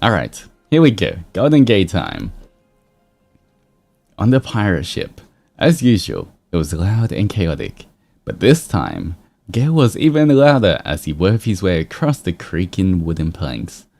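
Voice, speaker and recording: A man with a low, mellow voice hosts a stream and reads stories aloud. He takes his time, and his pitch moves around in a lively, amused way. Music plays behind him. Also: measured pace, vocal fry. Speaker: livestream host reading fan fiction aloud. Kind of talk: expressive story reading mixed with casual chat.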